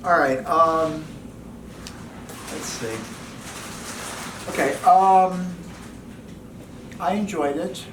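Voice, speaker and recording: A man with a slightly high voice gives informal feedback, choosing words cautiously and hesitantly. He sounds some way from the microphone in an echoey room. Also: very slow, long pauses. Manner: hesitant, careful, tentative. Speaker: former cast member giving feedback. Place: indoor gathering in a home. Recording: echoey, distant mic, noisy room.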